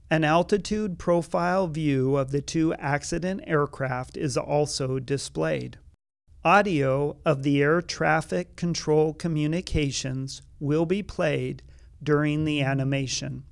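A man reading formal scripted narration in a measured, neutral way. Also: fairly high pitch, gravelly. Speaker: narrator of an official accident animation.

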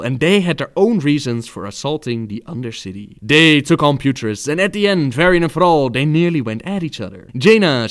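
A man giving scripted story narration about a game, told phrase by phrase as a video narrator. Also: mid-range voice.

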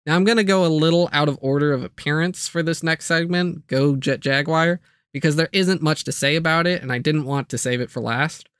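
A man's voice: a little high, brisk, a bit bright, clean tone, no room sound, clean studio sound. A man delivering a casual, comedic scripted video review, with jokey asides and playful exaggeration.

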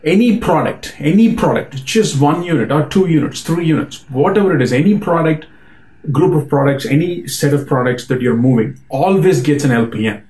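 A man's voice: on the high side, lively intonation, moderate pace, darkish tone. A man in his forties explaining warehouse practice to camera in a small plain room, earnest and full of "you know" and "right?" fillers. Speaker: supply chain company principal. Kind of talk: unscripted business explainer to camera. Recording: roomy echo.

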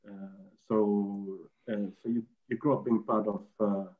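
A man from Fiji, a guest on a video call, explaining in a halting way with many fillers and restarts. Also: on the low side, level tone. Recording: tinny video-call audio.

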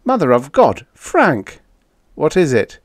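A man voicing an excited male space explorer in a science fiction story, loud and exclamatory. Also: on the high side, moderate pace, no echo, studio quality, pitched above the performer's own voice. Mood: astonished.

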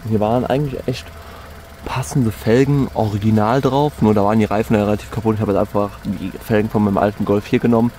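A man casually walking through his car in a vlog, chatty and relaxed, with frequent fillers and restarts. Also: fairly low pitch, slight background noise. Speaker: car vlogger. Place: in and around a car.

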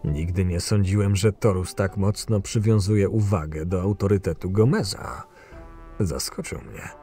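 A man's voice: low voice, measured pace, dark tone, faint music behind. A man playing a proud, short-tempered, cynical camp enforcer who narrates his own tale in a fantasy audio drama, sardonic and menacing. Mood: reflective.

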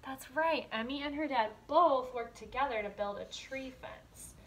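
A young woman leads a read-aloud lesson for small children in a fairly high voice. She explains things simply and leaves room for answers. The home recording has faint noise. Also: unhurried, a bit bright, low-quality recording. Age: young adult. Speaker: kindergarten teacher. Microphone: device camera a step or two away.

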